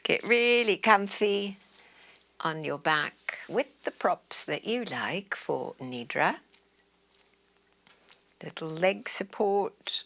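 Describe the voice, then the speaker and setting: Female meditation guide, lowish and very slow, soothing delivery with soft trailing phrase ends. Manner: soothing, unhurried, trailing into breathy softness. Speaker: yoga nidra teacher. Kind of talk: guided relaxation meditation instruction.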